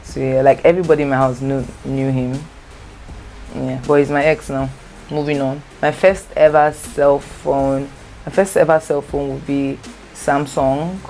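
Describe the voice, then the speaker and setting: A woman's voice: rumbling low, fairly even intonation, measured pace, a little mellow, soft background music. A young Nigerian woman with an accent, Igbo as her first language, chatting casually and rambling through a storytime tag and correcting herself as she goes. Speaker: vlogger. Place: home, natural light.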